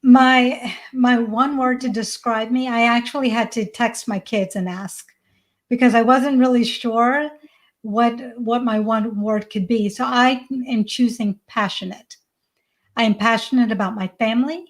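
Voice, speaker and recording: A woman's voice: on the high side, steady tone, a little slow. A woman in her forties whose first language is Hindi, a parenting coach talking in an earnest, emphatic way as a guest on a video-call podcast. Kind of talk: casual podcast interview.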